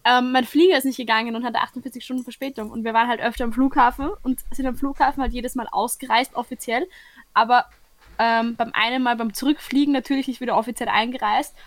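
A woman's voice: on the high side, fairly even intonation, quick pace, no room sound. A young Austrian woman with an Austrian accent, chatting casually and telling a story, often restarting her sentences. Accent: Austrian German. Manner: storytelling, repeats her openings when she starts a sentence. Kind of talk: casual chat.

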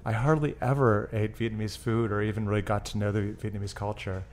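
A man's voice: fairly low pitch, little pitch movement, fast talker, a little mellow, a little hiss or hum. A man speaking as an interview guest in an easygoing, hesitant way. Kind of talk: casual interview chat.

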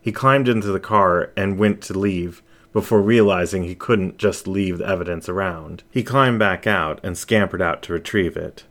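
Low-voiced man reading a suspense story. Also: moderate pace, few pauses. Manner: suspenseful, expressive. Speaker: story reader. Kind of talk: dramatic scripted story narration.

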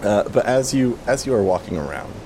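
Deep-voiced man running a tabletop game. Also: a little fast. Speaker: game master. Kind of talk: improvised tabletop game narration. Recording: clear steady rumble behind voice.

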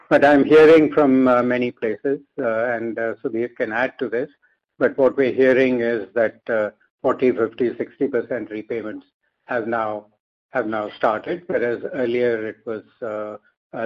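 Man, Indian accent, halting deliberate expert explanation, mid-pitched voice, muffled lo-fi conference call. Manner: halting, measured, deliberate phrasing. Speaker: industry expert guest. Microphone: conference call line. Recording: dull lo-fi conference call audio.

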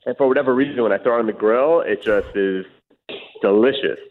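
A man calling in to a radio show, speaking in a relaxed, casual way with a deep, slightly nasal voice over a phone line. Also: brisk. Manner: relaxed, laid-back. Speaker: phone-in guest.

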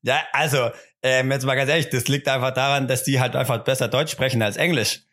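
A man's voice: medium pitch, steady tone, a little fast, a bit bright. A man co-hosting a casual remote podcast, rambling and joking, often breaking off mid-sentence. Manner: rambling, self-interrupting, joking. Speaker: podcast co-host.

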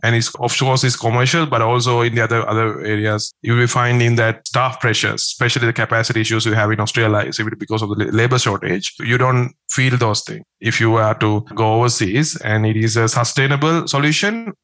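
A man's voice: medium pitch, fairly even intonation, fairly quick, hardly any pauses, fairly bright tone. A man from Sri Lanka, a tax agent answering interview questions in an earnest, step-by-step way.

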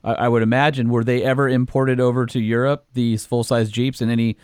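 A man's voice: medium pitch, flat delivery, brisk, darkish tone, smooth, no echo, clean studio sound. A man hosting a truck podcast, asking questions with enthusiasm and frequent hesitations. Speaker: podcast host. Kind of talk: casual interview talk.

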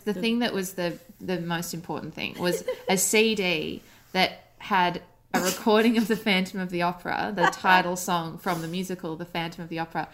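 A woman's voice: on the low side, fairly even intonation, moderate pace, few pauses. Woman, radio guest, casual chat, rambling, self-mocking, laughs often. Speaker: guest, comedy writer and performer.

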